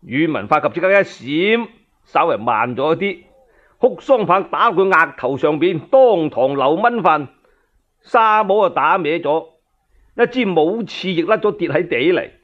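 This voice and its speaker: A man's voice: a little high, animated, a little slow, highs cut off. A man with a Cantonese accent narrating a dramatic tale as a solo radio storyteller.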